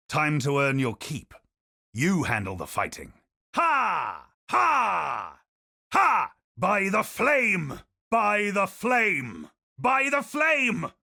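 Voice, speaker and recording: An actor playing a bold, honor-bound adventurer in a video game, with theatrical battle cries. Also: male voice, fairly high pitch, gravelly, bright, forceful tone, no echo, studio quality, exaggerated voice. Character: bold male knightly treasure-hunting adventurer.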